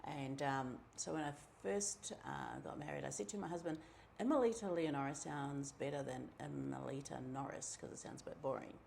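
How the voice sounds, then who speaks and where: A middle-aged woman from New Zealand with a New Zealand accent, telling her own story in a relaxed, chatty way, with many ums and asides. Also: extremely low pitch, normal speed, vocal fry, hardly any pauses, a little hiss or hum. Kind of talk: casual personal storytelling.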